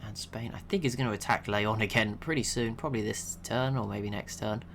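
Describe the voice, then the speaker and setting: A young man giving relaxed, chatty commentary over a strategy game he is watching, laughing to himself now and then. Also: lowish voice, fairly quick, a little hiss or hum. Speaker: gaming channel host.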